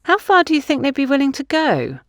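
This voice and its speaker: Woman voicing a probing, higher-pitched young woman. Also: huge pitch swings, fairly quick, no room sound, clean studio sound, full character voice. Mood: wary curiosity. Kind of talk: audiobook character dialogue.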